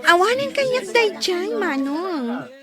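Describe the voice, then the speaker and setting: A woman playing a gentle, cheerful, forgiving young bride-to-be in a radio drama. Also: extremely high pitch, big pitch swings, takes it slowly, crowd noise, heavily acted. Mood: happy, forgiving.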